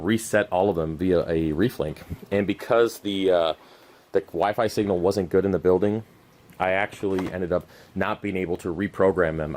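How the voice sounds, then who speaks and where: A worn-out man who is losing his voice and whose throat is going scratchy. He hosts his aquarium channel with a loose, rambling, unscripted update full of fillers and restarts, recorded on a camera mic as he walks around his cluttered home fish room. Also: low voice. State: exhausted. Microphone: camera mic while walking around.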